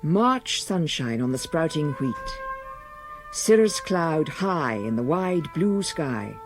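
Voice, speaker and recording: A man's voice: fairly high pitch, sing-song, slow pace, soft background music. A man narrating a radio drama in a lyrical, scripted storytelling style. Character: lyrical present-tense narrator of a rural period drama.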